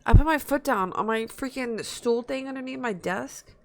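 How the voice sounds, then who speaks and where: A woman's voice: on the high side, normal speed. An American woman live streamer chatting casually as she games, informal, sweary and easily distracted. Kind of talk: casual gaming livestream chat.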